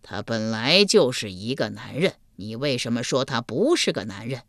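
A stern old King of Hell rules over the dead in a spoken storybook tale, put on by a woman. He speaks slowly, low and commanding. The recording is close and dry. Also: very animated, no echo, exaggerated voice. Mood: drawling. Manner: slow, booming, commanding.

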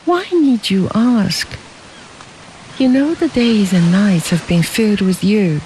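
Reader doing a gentle young bride, soft and cooing, slow and steady, faint hiss behind. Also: natural voice, poor audio. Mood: tender. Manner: soft, cooing, raised-pitch reading. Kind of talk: audiobook character voice.

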